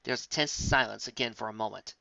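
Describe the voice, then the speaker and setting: A woman's voice: extremely low pitch, fast, creaky, sharp tone, dry recording. A woman narrating a fantasy story in a dramatic reading style, on a slightly dull recording. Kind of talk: dramatic reading of fan fiction.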